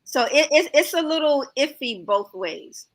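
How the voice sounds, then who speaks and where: A woman's voice: high voice, sing-song, measured pace, low-quality recording. A woman, a guest reviewer from Harlem, New York, talking in a casual panel chat and reasoning aloud with rhetorical questions.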